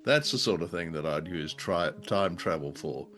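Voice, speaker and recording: A middle-aged man co-hosting a podcast in casual chat, speaking in a hesitant, halting way. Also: sits low, lively intonation, fairly bright tone, faint music behind. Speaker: podcast co-host. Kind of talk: casual scripted-topic chat.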